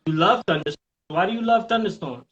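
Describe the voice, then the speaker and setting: A man from New Jersey hosts a live podcast in a slightly high voice. He rambles casually and swears freely, in a streetwise manner. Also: quick pace, a bit bright, dull audio. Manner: profane, rambling, streetwise talk. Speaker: podcast host.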